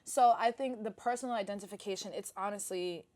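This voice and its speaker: A woman's voice: medium pitch, a little fast, a little mellow. A young woman co-hosting a casual talk show, reflective and a little searching as she talks. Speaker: podcast co-host. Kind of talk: casual discussion.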